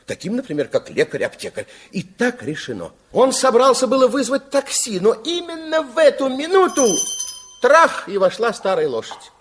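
A man narrating a children's radio play in an expressive storybook style, with dramatic pauses. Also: sits high, a little hiss or hum. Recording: old 1960s radio recording with music.

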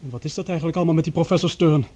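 A man's voice: on the high side, racing, mellow, husky. An actor playing a nervous, defensive young man from a laboratory, flustered and pleading, in radio drama style. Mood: defensive, anxious. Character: nervous, defensive young male lab worker.

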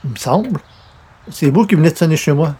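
Actor as a polite, wry Québécois straight man, higher-voiced. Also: animated, brisk, mellow, slightly rough. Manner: polite, dryly ironic, hesitant. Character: polite, wry, put-upon newspaper columnist, straight man. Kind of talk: comedy radio serial acting in 1940s manner.

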